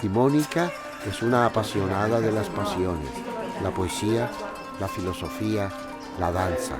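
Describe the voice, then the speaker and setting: A man hosting a cooking program, narrating in a calm, reflective, poetic way, from Colombia. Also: fairly low pitch, normal speed, over loud music. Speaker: host and cook. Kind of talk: scripted narration over a cooking show.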